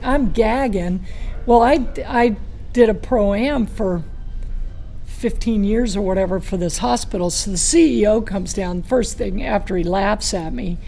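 Middle-aged man, raspy gravelly voice, dark tone, rambling casual storyteller. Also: high voice, measured pace, slight background noise, rough recording. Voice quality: raspy from throat scar tissue. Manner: rambling with many restarts and fillers. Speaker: guest, former touring golfer. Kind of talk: casual storytelling in podcast chat.

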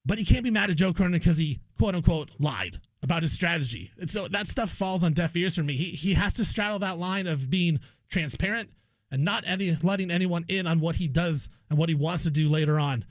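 High-voiced man ranting on sports radio. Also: fairly quick, no echo. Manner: emphatic, argumentative, repeats phrases for effect. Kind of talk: opinionated sports talk monologue.

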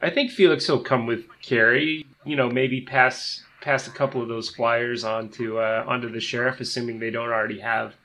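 A man's voice: mid-range voice, level tone, moderate pace, few pauses, sharp tone, slight background noise. A man playing a tabletop role-playing game, casually describing what his character does.

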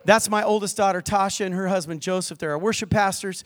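An older man preaching a lively sermon in church, mixing scripture with jokes and stories. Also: high-pitched, fast. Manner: lively, joking preacher with asides to the crowd. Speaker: pastor. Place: church hall with balcony.

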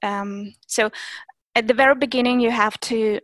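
Russian-native woman, hesitant, bright pitch. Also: steady tone, normal speed, no room sound. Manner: hesitant, many fillers and restarts. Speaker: German teacher and Instagram creator. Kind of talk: interview guest, casual conversation.

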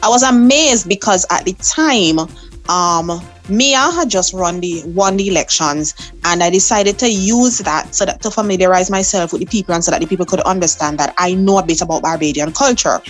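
Young Vincentian woman, soca artist guest, lively anecdotal delivery with easy laughs. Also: fairly low pitch, moderate pace, soft background music. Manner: lively storyteller, laughs often. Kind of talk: interview storytelling.

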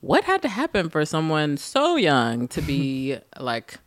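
A podcast host with a lively, swooping voice that sounds close and dry. She interviews her guest playfully, teasing him and breaking in with quick exclamations. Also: low-pitched, no room sound. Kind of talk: casual conversational interview.

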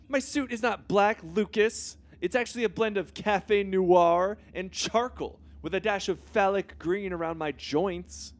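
A man's voice: sits high, a little slow, hardly any pauses. A man playing a vain, self-pitying teenage boy superhero in a comedy sketch, talking in a pompous, oblivious way. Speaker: Bruce Wayne Jr.

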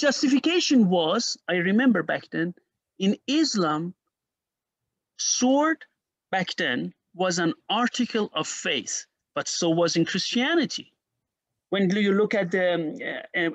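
Gravelly middle-aged Persian man, emphatic. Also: unhurried, no echo. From Iran. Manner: emphatic, restarts often, animated pitch jumps. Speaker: historian guest. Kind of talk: interview, explaining at length.

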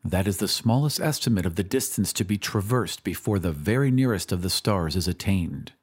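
A man narrating a story as a scripted dramatic reading, in a measured, solemn storytelling manner. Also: sits low, expressive, a little mellow, husky. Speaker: podcast host and narrator. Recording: music behind the voice. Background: music.

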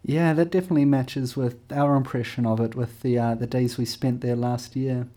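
Mellow-voiced male podcast host. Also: medium pitch, moderate pace. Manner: relaxed, hesitant with "uh" and restarts. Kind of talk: podcast interviewing and announcements.

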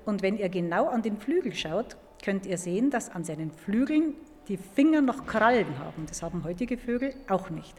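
A woman's voice: mid-range voice, animated, normal speed, hardly any pauses, a little mellow, slight background noise. A woman speaking as a museum expert, explaining things to young listeners in a didactic way. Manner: clear, didactic phrasing. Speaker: museum paleontologist, expert guest. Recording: echoing hall, music behind.

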